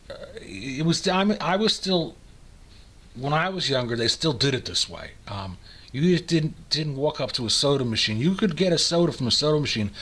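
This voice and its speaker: Older American male, husky mid-range voice, amateur reader with rambling conversational delivery. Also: medium pitch, a bit bright. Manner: rambling, nostalgic, conversational asides. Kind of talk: dramatic reading of old recipes with casual reminiscing.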